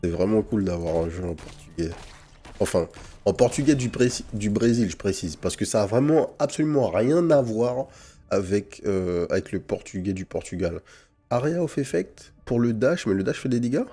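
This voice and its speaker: Deep mellow guy from french guiana chatting over gameplay, slangy w english gamer words, bit of music behind. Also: expressive, normal speed, breathy edge. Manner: informal slang sprinkled with English gaming terms. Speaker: gaming channel host. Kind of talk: casual gameplay commentary with first impressions. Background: game music.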